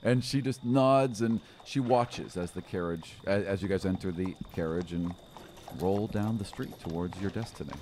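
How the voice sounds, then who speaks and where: A man improvising tabletop game narration as game master, in an animated, conversational storytelling manner. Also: sits low, normal speed, slight background noise.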